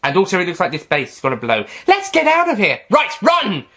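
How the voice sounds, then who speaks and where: A man's voice: high-pitched, huge pitch swings, sharp tone, voice pushed up, exaggerated voice. Playful, wry time traveller, lifted voice, man voicing him. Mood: excited. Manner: brisk, playful and sarcastic. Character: eccentric alien time traveller, cheerful and sarcastic.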